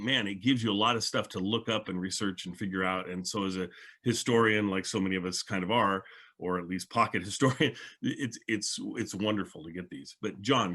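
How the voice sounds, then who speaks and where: A man's voice: on the low side, bright, forceful tone. A man hosting a casual panel discussion, talking in a chatty, rambling way. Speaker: podcast host.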